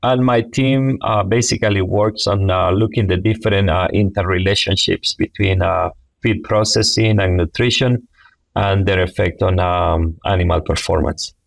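A man's voice: sits low, moderate pace, gravelly, no room sound. A middle-aged man from Honduras with a Honduran Spanish accent, explaining things as an interview guest on a video call. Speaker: guest, poultry science professor. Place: small indoor office, video call. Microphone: wireless earbuds on a video call.

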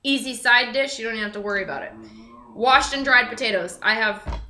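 A young woman with a fairly high voice hosts an informal cooking demo, chatting and thinking aloud as she works. She is recorded in a roomy home kitchen by a camera a few feet away, with clear noise and clatter behind her. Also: fairly quick, pushed and bright. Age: young adult. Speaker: cooking show host. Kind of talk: casual cooking demonstration to camera. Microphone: camera mic a few feet away.

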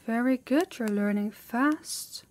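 A woman's voice: fairly high pitch, takes it slowly, a little mellow. A woman playing a harsh, dominant demon in an intimate audio roleplay, commanding and menacing, often dropping toward a whisper. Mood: stern, approving. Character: harsh, dominant female demon training a human captive.

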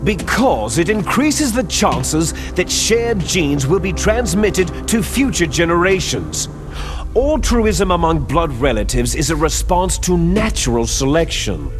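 An actor playing a bitter, fanatical villainous clone soldier in a video game, arrogant, menacing and condescending, with drawn-out theatrical phrasing. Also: male voice, on the high side, sing-song. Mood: contemptuous lecturing. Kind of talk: video game cutscene acting. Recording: music behind the voice.

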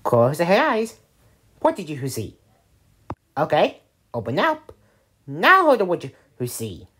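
A young adult performing voice lines theatrically, swinging between hushed chanting and loud exclamations. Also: mid-range voice, huge pitch swings, takes it slowly, husky.

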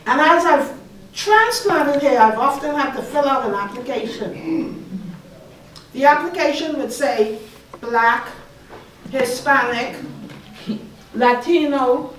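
A middle-aged woman from the Bahamas with a Bahamian accent, leading a slow, soothing guided meditation on stage as a panel artist. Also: high voice, fairly bright tone, slight background noise. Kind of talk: guided meditation address to an audience. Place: large hall, on stage. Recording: echoey hall from far off.

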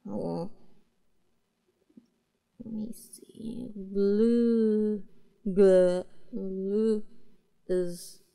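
A woman's voice: mid-range voice, gravelly, warm tone, clear, resonant tone. A woman voicing a cute, girlish young catgirl pet in a roleplay audio, speaking in a hesitant, earnest, apologetic way to her master. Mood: muttering, concentrating. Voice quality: cutesy, girlish.

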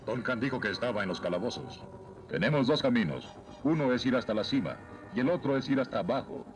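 Un actor con acento latinoamericano que interpreta a un joven héroe fuerte, seguro y directo, en una caricatura doblada. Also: male voice, medium pitch, lively intonation, quick pace, natural voice. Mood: assured, decisive. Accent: Latin American Spanish. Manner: assured, straightforward. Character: confident, brave young muscular hero. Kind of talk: dubbed 1980s cartoon acting. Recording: loud music behind dubbed cartoon dialogue.

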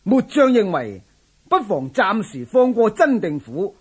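A man's voice: sits high, big pitch swings, measured pace, husky, full character voice. An actor playing a loyal, shrewd general who addresses his lord formally and earnestly in a historical radio drama. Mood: earnest counsel.

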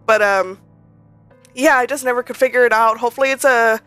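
A woman's voice: on the high side, fairly even intonation, fairly quick, bright, forceful tone, clean tone, faint music behind. A woman recording casual voice-over commentary for a game build video, chatty and rambling with many restarts, a little nasal as she gets over a cold. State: slightly nasal, recovering from a cold. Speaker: Sims speed-build creator.

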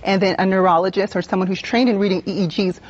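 Casting: American woman, 30s, medical expert guest on TV news, informative, plain-spoken, mid-pitched, slightly muffled broadcast sound. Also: steady tone, quick pace. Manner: informative, explains in plain terms. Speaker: emergency room nurse and expert guest. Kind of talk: explanatory live TV interview. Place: TV news studio. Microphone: lapel mic.

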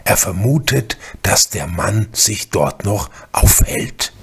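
Gravelly, husky male narrator. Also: deep voice, animated, slow. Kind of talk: scripted radio drama narration.

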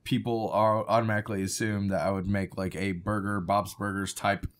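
A man's voice: on the low side, flat delivery, brisk. A man co-hosting a casual podcast chat at a table mic, joking and laughing often. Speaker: podcast co-host. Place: living room studio. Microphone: desk mic on stand.

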